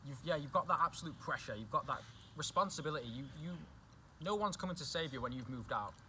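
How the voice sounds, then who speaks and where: Fast-talking young Englishman, bright voice. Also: a little high, expressive, a little hiss or hum. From England. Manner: chatty, repeats himself, says "do you know what I mean". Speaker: guest. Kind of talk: casual chat.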